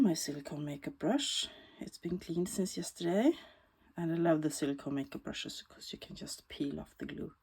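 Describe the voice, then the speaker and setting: Slow Swedish-accented woman crafter, gravelly. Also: on the low side. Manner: slow, thoughtful, often drops to soft whispers while working. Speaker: bookbinding crafter. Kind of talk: casual craft tutorial.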